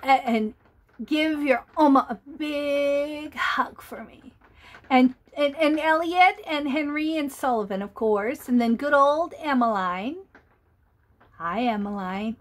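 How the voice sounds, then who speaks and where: A woman's voice: high-pitched, slow pace. Playful older woman reading to children. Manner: playful, chatty, greets children by name; asks the young listeners questions. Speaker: storyteller for children. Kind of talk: reading a picture book aloud to children.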